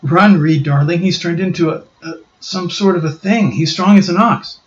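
A man's voice: high voice, moderate pace, voice pushed up, close to an everyday voice. A man reading a comic aloud and voicing a fretful young woman who pleads breathlessly, in a dull, roomy recording. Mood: frightened. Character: Susan, young woman, anxious and tender.

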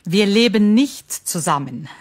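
An actress playing an adult woman introducing herself, speaking slowly and clearly for a textbook recording. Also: medium pitch, lively intonation, no echo, clean studio sound. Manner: clear, slow and careful.

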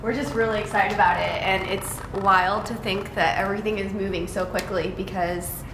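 A woman's voice: mid-range voice, steady tone, normal speed. A young American woman giving an enthusiastic, casual house tour as a vlogger. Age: young adult. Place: bare framed house interior, indoors. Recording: echoey empty room, distant mic, clear noise.

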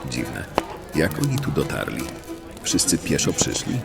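A man's voice: extremely low pitch, huge pitch swings, unhurried, creaky, over music, heavily acted. A man playing a wary, grumbling outlaw in a fantasy audio drama. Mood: suspicious. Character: wary, grumbling male bandit, anxious about capture. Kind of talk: fantasy radio drama acting.

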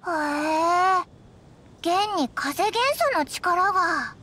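A woman voicing a small, excitable fairy companion in an anime game, childlike and brash with rough boyish wording. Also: extremely slow, a little hiss or hum, full character voice. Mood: curious. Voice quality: squeaky, childlike.

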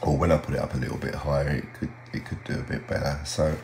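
An older man talking casually and haltingly in a low-key, unhurried way, like a hobbyist vlogging at home. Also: steady tone, creaky, a little mellow. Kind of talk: casual hobby vlog commentary. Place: small home radio room, indoors. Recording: roomy sound with faint hum.

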